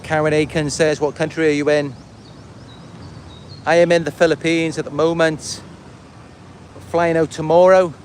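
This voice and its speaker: Male livestreamer, Welsh accent, voice a bit on the high side, loose rambling delivery, light street noise behind. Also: level tone, normal speed, pauses often. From Wales. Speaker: livestream host and content creator. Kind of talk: casual livestream monologue, answering viewer comments.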